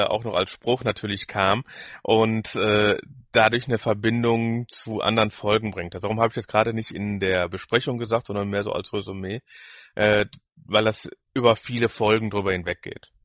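A man's voice: fairly low pitch, steady tone, moderate pace, a bit bright, no echo. Man chatting on a podcast, dry sound. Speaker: podcast co-host. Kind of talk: casual review chat.

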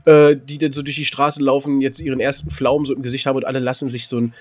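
A man co-hosting a casual comedy podcast, joking and animated, often restarting his sentences. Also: mid-range voice, fast. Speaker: podcast co-host.

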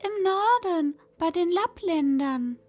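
A woman's voice: lively intonation, slow pace, darkish tone, full character voice. A woman voicing a pair of eager wood pigeons in a read-aloud fairy tale, cooing their words. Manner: high cooing calls.

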